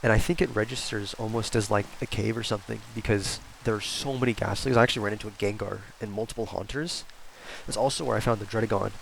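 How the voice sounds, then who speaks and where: A man's voice: on the low side, steady tone, quick pace, vocal fry, a little hiss or hum, low-quality recording. A congested young man with a cold, chatting casually over his gameplay and rambling with fillers and restarts. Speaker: gaming YouTuber. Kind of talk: casual Let's Play commentary.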